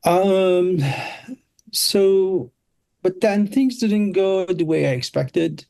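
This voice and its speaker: A middle-aged man with a Turkish accent, telling stories casually as an interview guest, often halting and searching for words. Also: high-pitched, gravelly, warm tone, no room sound. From Turkey. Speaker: interview guest, product designer. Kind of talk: casual conversational storytelling.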